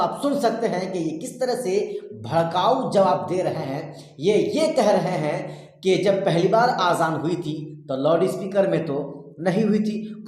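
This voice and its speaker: A man's voice: high-pitched, a little mellow. Very echoey male news commentator. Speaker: YouTube news commentator and host. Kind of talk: political news commentary addressed to viewers. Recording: very echoey, reverberant sound.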